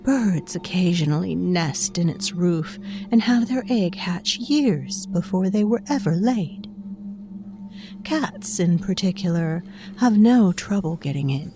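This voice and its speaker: Ancient fey storyteller lady, dark fantasy audio drama, real slow n deliberate, knowing, kinda scornful, faint music under. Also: lowish voice. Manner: deliberate, confiding, addressing the listener directly. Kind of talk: scripted dark fantasy audio fiction narration.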